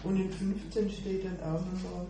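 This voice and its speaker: A woman's voice: fairly low pitch, level tone, normal speed. A woman sharing at a church meeting, hesitant, with many fillers. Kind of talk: informal devotional sharing. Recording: echoey room mic from far off with background noise.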